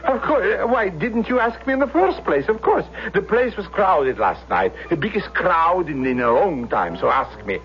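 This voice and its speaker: An actor playing an older immigrant restaurant man in a radio drama, excitable and baffled, speaking broken English with emphatic questions. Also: sits high, moderate pace, hardly any pauses. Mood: agitated. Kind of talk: 1970s radio drama acting. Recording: muffled vintage radio recording, loud music behind.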